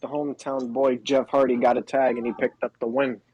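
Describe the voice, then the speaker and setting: A man's voice: mid-range voice, flat delivery, fairly quick, a little mellow, slightly rough, no room sound, lo-fi sound. A man co-hosting a podcast, talking casually as he recaps wrestling. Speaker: podcast co-host.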